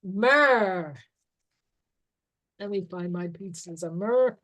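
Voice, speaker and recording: A middle-aged woman talking informally about herbal remedies, explaining slowly and restarting her sentences as she goes. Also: medium pitch, fairly bright tone. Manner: slow, unhurried explaining with restarts. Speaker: herbal educator.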